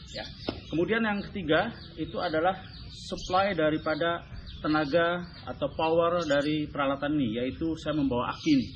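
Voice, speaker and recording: A man with an Indonesian accent hosting a vlog, giving an informal, instructive hands-on explanation to camera. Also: a little high. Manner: instructive, often tagging sentences with "ya". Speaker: vlog host demonstrating his radio gear. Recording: slightly muffled, clearly noisy.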